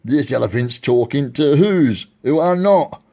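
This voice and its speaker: A man voicing a nasty, scornful storybook monkey, shouting in a comic put-on accent. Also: mid-range voice, expressive, a little slow, pitched above the performer's own voice. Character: nasty jeering gang of jungle monkey brothers.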